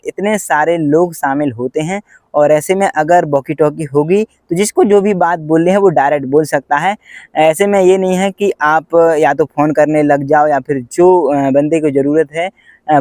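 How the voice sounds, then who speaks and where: Higher-pitched man explaining step by step. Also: darkish tone, dry recording, studio quality. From India. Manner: methodical, point-by-point explaining. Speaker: railway pointsman and vlogger. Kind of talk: explanatory vlog narration addressed to viewers.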